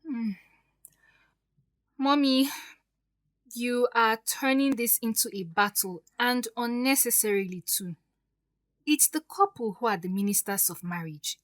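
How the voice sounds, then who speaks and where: A woman's voice: on the high side, unhurried, long pauses, a bit bright, no room sound. A woman playing a defiant, hurt stepdaughter in an audio drama, speaking emphatically and with grievance. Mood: firm, weary. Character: defiant, wounded grown stepdaughter standing up for herself.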